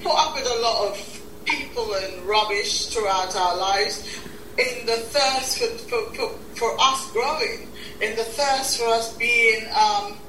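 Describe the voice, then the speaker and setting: A bright-toned woman in her sixties, originally from Guyana, talking through her life in an unhurried, reflective way. She tends to repeat a word or two before getting a thought going. The recording is roomy and a little distant, with faint background sound. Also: on the high side, slight background noise. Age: 60s. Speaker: talk show host, interview guest. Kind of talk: reflective interview talk.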